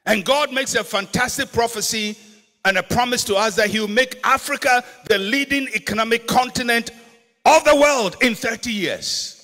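A Ghanaian man, an older pastor, preaching emphatically from a church auditorium stage into a handheld microphone, slowing to stress key words. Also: very high voice, sharp tone, faint music behind. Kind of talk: sermon. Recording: long auditorium reverb.

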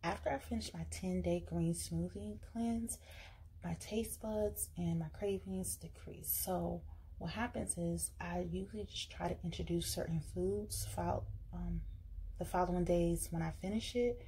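A woman's voice: fairly low pitch, fairly even intonation, unhurried, warm tone, slight background noise, low-quality recording. A woman vlogging casually as she plans her week's meals at a table, talking in a chatty way with fillers and restarts. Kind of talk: casual vlog, planning meals while writing. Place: indoors at a table.

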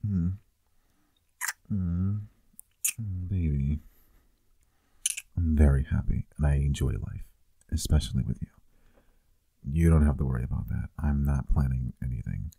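Very deep, husky boyfriend, acted by a man. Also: unhurried, pauses often, mellow, no room sound, exaggerated voice. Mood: tender. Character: teasing, affectionate boyfriend busy with work. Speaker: ASMR roleplay performer. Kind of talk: intimate boyfriend roleplay ASMR, casual chat.

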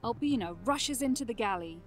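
A woman's voice: fairly high pitch, lively intonation, brisk, soft background music. A woman reading scripted narration for a fantasy audio drama.

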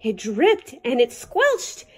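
A woman reading a rhyming picture book in an expressive, dramatic, rhythmic children's storytime style. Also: a little high, slow, husky.

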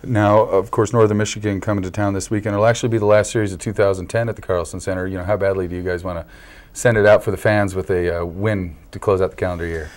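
A man's voice: low-pitched, steady tone, fast talker, a little mellow. A young man hosting the sports segment of a local TV newscast, interviewing a guest in an upbeat broadcast manner with a few small stumbles. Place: small local TV news studio, indoors. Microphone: lapel mic.

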